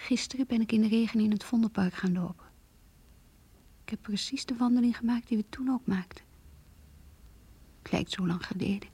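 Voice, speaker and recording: Radio drama: longing, bitter abandoned lover, hushed and dark-toned, very breathy, intimate. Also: female voice, on the high side, dry recording. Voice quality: very breathy, often near whisper. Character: heartbroken mistress, wounded, longing, quietly bitter. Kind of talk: radio drama inner monologue, diary reading.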